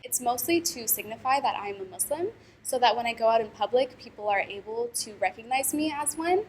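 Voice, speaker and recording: Young woman from Pakistan, fairly high voice, articulate and casual, faint room ambience. Student interview subject. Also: lively intonation, fairly quick, a little mellow, slight background noise. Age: young adult. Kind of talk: reflective interview answers.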